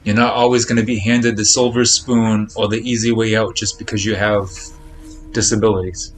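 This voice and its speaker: A man's voice: on the low side, flat delivery, normal speed. A man chatting casually on a live game stream, speaking in a blunt, admonishing, repetitive way. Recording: noticeable background noise.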